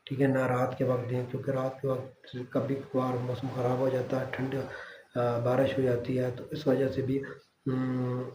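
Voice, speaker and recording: A man's voice: mid-range voice, monotone, normal speed, noisy background. A man hosting his own bird-keeping channel, giving casual how-to advice to viewers in a reassuring way, speaking with a Pakistani Urdu accent and often checking in with "theek hai". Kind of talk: casual how-to talk to camera. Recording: echoey room with faint ambience.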